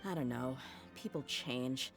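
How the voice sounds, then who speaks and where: A low-pitched, tough mercenary woman. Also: measured pace, gravelly, exaggerated voice. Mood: troubled, resentful. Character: tough, weary young mercenary woman, frank about her father. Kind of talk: English video game dub acting. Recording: game music underneath.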